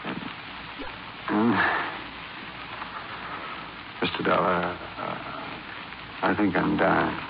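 An American actor playing a dying con-man hypnotist in a 1950s radio crime drama, confessing haltingly in a weak, gravelly, weary voice. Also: low voice, fairly even intonation, exaggerated voice. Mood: fading, strained. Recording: muffled, noisy 1950s radio recording.

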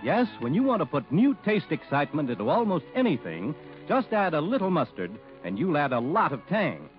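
A man's voice: fairly high pitch, huge pitch swings, brisk, faint music behind. An American man reading a scripted 1950s radio advertisement with an upbeat, emphatic sales delivery. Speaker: commercial announcer. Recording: muffled old radio recording.

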